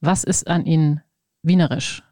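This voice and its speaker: Calm, low-voiced woman interviewer. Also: moderate pace, no room sound, clean studio sound. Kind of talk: measured interview questions.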